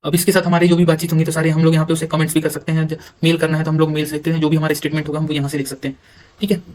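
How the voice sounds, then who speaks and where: An Indian man giving an informal software walkthrough, halting at times and mixing English terms into his speech. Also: on the high side, monotone. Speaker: software demonstrator. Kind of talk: informal screen-recorded tutorial walkthrough.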